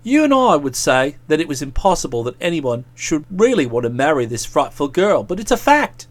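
A low-pitched young English gentleman from a comic storybook, as a male narrator puts him on. He is aggrieved and aloof, handing out pompous reproaches. His sarcasm is cold and drawled. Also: very animated, a little fast. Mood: musing, drawling.

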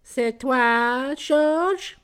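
A man acts out a sweet young Frenchwoman from a storybook. The voice is pitched very high, bashful and gushing with shy affection. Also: extremely slow, a bit bright, clean tone, full character voice. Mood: shy, surprised.

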